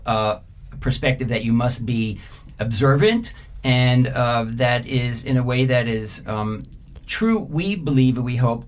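Middle-aged guy, thinks as he talks, lots of uhs, average pitch. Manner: thoughtful, halting, many filler pauses. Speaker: interview guest, former journalist. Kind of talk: casual interview answers.

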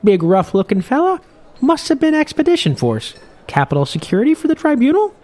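A man's voice: very high-pitched, wildly swooping pitch, fairly quick, a little mellow, voice pushed up, heavily acted. A man voicing a short, jolly old fishing boat captain who talks in a chatty, boisterous, lilting way.

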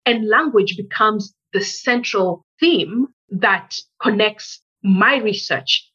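Husky-voiced woman researcher, measured. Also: mid-range voice, a bit bright, lo-fi sound. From Kenya. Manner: measured, builds points in lists. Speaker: researcher interviewee. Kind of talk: reflective interview answer.

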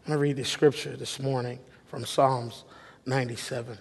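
A man preaching a sermon as pastor, halting and reflective with many restarts, his voice a little worn. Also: mid-range voice, little pitch movement, vocal fry, breathy edge. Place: church sanctuary. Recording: long hall reverb.

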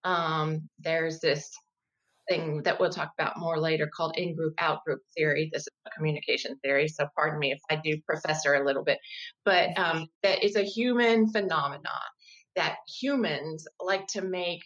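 A woman in her fifties speaks as an interview guest, her voice sitting a little low. She explains things in a measured, thoughtful way and sometimes restarts a sentence while she thinks. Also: fairly even intonation, fairly bright tone, dull audio. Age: 50s. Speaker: guest, diversity educator. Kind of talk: conversational interview.

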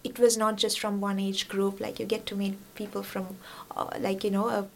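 A woman's voice: mid-range voice, little pitch movement, normal speed, vocal fry, slight background noise. A young woman from Sri Lanka, an international student, chatting casually in an interview and filling her talk with "like" and "you know". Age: young adult. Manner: chatty, many fillers like "like" and "you know", laughs often.

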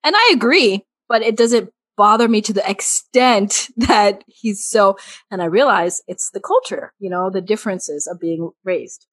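A woman's voice: mid-range voice, a little slow, no echo. Chatty woman podcast co-host, dry sound. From United States. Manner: animated, chatty, laughs often. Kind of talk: casual chat.

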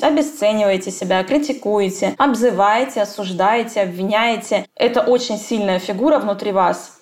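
Woman guest on a podcast, kinda high voice, walks through points like a lecture. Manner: lecturing, calm, lists points with frequent "да". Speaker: psychologist guest. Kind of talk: podcast conversation, explaining.

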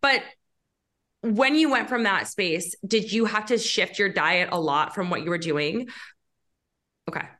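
A woman hosting a podcast, candid and animated, sharing personal stories as she chats with her guest. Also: mid-range voice, unhurried, long pauses. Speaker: podcast host. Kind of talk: conversational podcast interview.

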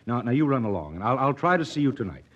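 An actor playing an earnest, proud, stern doctor in a serious old radio drama manner. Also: male voice, mid-range voice, very animated, fast. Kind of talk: 1940s radio drama acting. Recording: dull, muffled old radio recording.

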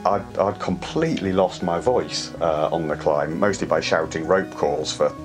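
A man's voice: low voice, moderate pace, music in the background. A middle-aged man from the UK, an alpinist, telling climbing stories in a hesitant, reflective way with frequent restarts. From United Kingdom. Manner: hesitant, many restarts and soft laughs. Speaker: alpinist guest. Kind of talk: reflective conversational storytelling.

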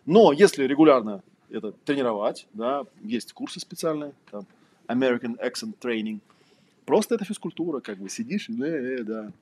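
A man's voice: mid-range voice, animated, normal speed, darkish tone, slight background noise. A man giving an informal lecture, conversational and rambling, telling anecdotes with a wry manner. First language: Russian. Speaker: trainer, lecturer.

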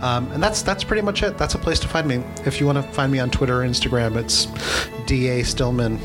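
A man speaks as a guest on a podcast, chatty and animated, his sentences strung together with "like". His voice sits at a middle pitch, with faint music in the background. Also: a little fast. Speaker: guest, conversation designer. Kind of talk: casual interview chat.

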